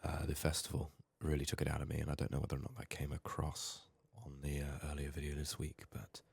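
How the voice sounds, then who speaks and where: Very deep, hoarse, hushed man. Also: monotone. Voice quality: husky, raspy, breathy. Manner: subdued, hushed, halting with long pauses. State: ill, hoarse, strained voice. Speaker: ASMR gaming streamer. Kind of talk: soft-spoken whispered ASMR game playthrough.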